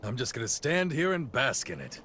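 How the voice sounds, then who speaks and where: An actor playing an easygoing middle-aged warrior captain and encouraging mentor in a video game cutscene. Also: male voice, mid-range voice, big pitch swings, moderate pace, pushed and bright, a little hiss or hum. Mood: playful, confident.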